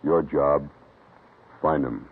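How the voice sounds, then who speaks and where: An American man announcing a 1950s radio drama in a solemn, deliberate, terse manner. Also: extremely low pitch, fairly even intonation, crowd noise. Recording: muffled old radio recording. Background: loud orchestral brass music.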